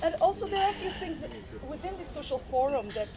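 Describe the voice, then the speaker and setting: High-voiced woman from Portugal, earnest questioner. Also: sing-song, quick pace. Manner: earnest, academic, many fillers and restarts. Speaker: audience member asking questions. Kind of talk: spontaneous question at a public forum.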